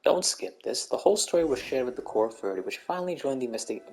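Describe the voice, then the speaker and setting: A woman's voice: very deep voice, lively intonation, fast, a little mellow. A woman giving casual gameplay commentary, with wry, sweary asides as she goes. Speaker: game streamer.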